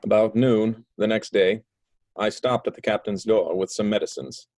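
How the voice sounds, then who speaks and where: A man narrating a story in an even, storytelling manner. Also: fairly low pitch, moderate pace.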